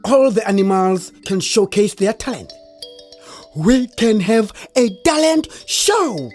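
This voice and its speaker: A woman's voice: medium pitch, lively intonation, slow pace, a little mellow, faint music behind. A woman with a South African accent playing a sensible, bossy creator spirit in children's audio theatre. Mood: eager.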